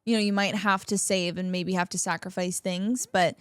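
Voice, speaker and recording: A woman in her twenties co-hosting a podcast, talking in a relaxed, reflective way and hedging with "I think.". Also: lowish voice, moderate pace, smooth, dry recording, clean studio sound. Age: 20s. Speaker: podcast co-host. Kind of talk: casual chat.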